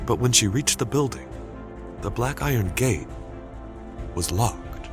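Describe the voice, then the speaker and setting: A man narrating a scripted story dramatically, with suspenseful, deliberate phrasing. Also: medium pitch, lively intonation, music in the background.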